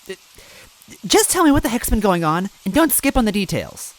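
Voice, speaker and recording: A man voicing a tough, spunky young toad woman, a former police officer who is blunt and quick to yell. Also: high voice, sing-song, pitched above the performer's own voice, rough recording. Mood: alarmed.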